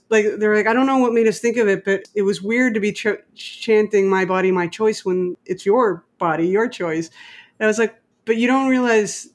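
A middle-aged woman with an American accent, talking conversationally and emphatically, telling stories and giving opinions. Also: mid-range voice. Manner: emphatic, opinionated, quotes others in dialogue. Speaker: independent filmmaker and interviewee. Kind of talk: animated conversational storytelling.

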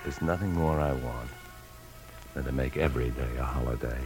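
Deep-voiced, evasive 1950s radio husband. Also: male voice, normal speed, a little mellow. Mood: soothing, evasive. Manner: smooth-talking, placating, guarded. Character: evasive anxious husband on the run, confessional narrator. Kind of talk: 1950s radio drama acting and first-person narration. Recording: 1950s radio broadcast, faint music.

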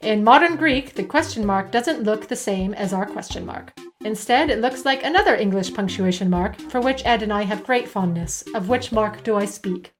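A woman's voice: medium pitch, animated, normal speed, hardly any pauses, faint music behind. A woman co-hosting a podcast, reading quiz questions aloud in a playful, teasing way. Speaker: podcast co-host and quizmaster.

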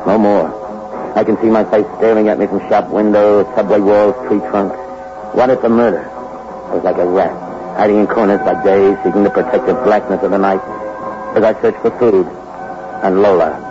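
An actor playing a bitter young convict on the run in an old-time radio crime drama, with hard-boiled gangster talk. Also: male voice, lowish voice, fairly even intonation, normal speed, lightly acted. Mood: bitter, brooding. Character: bitter young fugitive convict hunting his wife for revenge. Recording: dull old radio recording with music.

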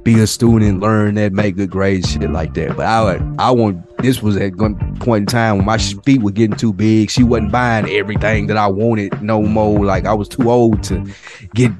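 A man's voice: on the low side, normal speed. An American man in his forties telling stories in a casual, slangy, profane way as a podcast co-host. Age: 40s. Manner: profane, slangy, animated retelling. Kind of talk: casual storytelling chat. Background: music.

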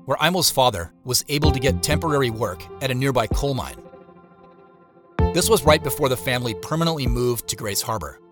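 A man's voice: medium pitch, expressive, fairly quick, music in the background, natural voice. An actor playing a troubled, guarded man in an audio drama, reflective and earnest as he talks. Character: troubled, guarded man confiding in his sister and narrating. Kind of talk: audio drama acting and first-person narration.

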